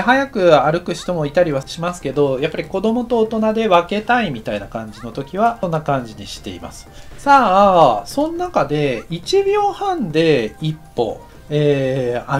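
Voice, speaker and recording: Animated man explaining animation timing. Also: a little high. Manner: lively, acts out sounds and footsteps. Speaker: anime director teaching a course. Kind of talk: casual tutorial narration.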